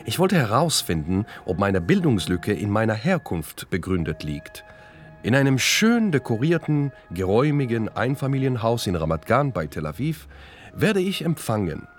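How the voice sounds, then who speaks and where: A man's voice: fairly low pitch, sing-song, moderate pace. A man from Israel delivering scripted, self-dramatizing first-person narration as director and narrator of a radio play. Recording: loud music under the voice. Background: music.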